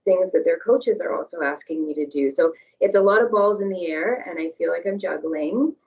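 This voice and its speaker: A lower-pitched woman with a hoarse, gravelly voice, speaking candidly with some hesitant restarts. She sounds distant and dull, as if she were on a video call. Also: a little fast. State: voice going hoarse. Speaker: parent sharing her experience, tapping echo. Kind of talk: casual conversation, then repeating tapping phrases.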